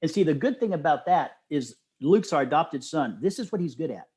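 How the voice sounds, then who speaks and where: A man's voice: a little high, fairly quick. A middle-aged man talking casually and enthusiastically as an interview guest, telling stories and quoting others with emphasis. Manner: animated storyteller, often quoting others with emphasis.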